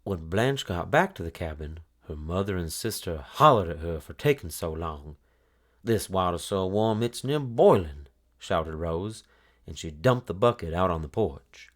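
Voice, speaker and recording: Casting: male children's book narrator, lowish voice, very lively intonation, expressive read-aloud delivery. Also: normal speed. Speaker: storybook narrator.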